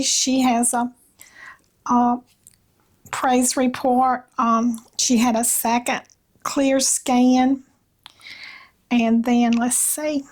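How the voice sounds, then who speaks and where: Slow-speaking Southern woman, slightly raspy. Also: on the high side. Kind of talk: casual devotional chat with read-aloud passages.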